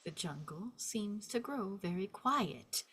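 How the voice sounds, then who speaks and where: A woman's voice: mid-range voice, lively intonation, slow, a bit bright, husky. A woman reading stage directions as a scripted narrator, in a vivid descriptive storytelling manner.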